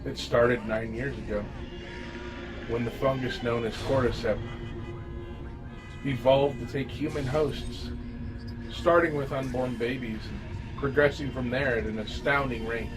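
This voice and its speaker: A man reading a scripted first-person horror story as an audio drama narrator, grave and dramatic with deliberate phrasing. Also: mid-range voice, fairly even intonation, low-quality recording. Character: male survivor recounting a zombie fungus apocalypse. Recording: amateur narration over music. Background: music.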